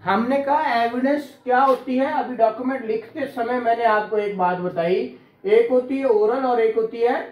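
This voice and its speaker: A man's voice: very high-pitched, moderate pace, roomy sound, dull audio. A man teaching law to a class, quizzing students, repeating key terms and praising answers. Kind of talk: classroom law lecture with questions.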